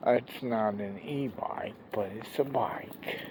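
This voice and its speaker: A man with a gravelly voice from a stroke, talking slowly and haltingly in a casual vlog while out walking, recorded on a handheld phone. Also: medium pitch, background noise. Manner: rambling, many pauses and restarts. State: recovering from a stroke, slow effortful speech. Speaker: vlogger. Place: outdoors on a walk.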